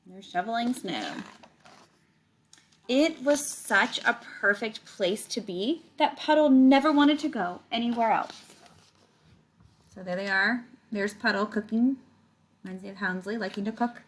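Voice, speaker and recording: A woman's voice: fairly high pitch, unhurried, long pauses. Woman reading a picture book to children. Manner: animated storytelling, stretches words, chats directly to young listeners. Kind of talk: read-aloud to children with casual explaining asides.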